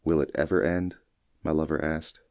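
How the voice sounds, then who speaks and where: A man reading a first-person short story as an audiobook narrator, in a measured, folksy storytelling manner. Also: extremely low pitch, flat delivery, a little mellow, no echo, studio quality.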